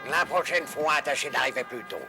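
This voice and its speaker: A man voicing a shrewd, commanding warrior duke in a French animated dub, curt and authoritative, with a gravelly voice. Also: mid-range voice, a little fast, over music, natural voice. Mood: commanding, measured.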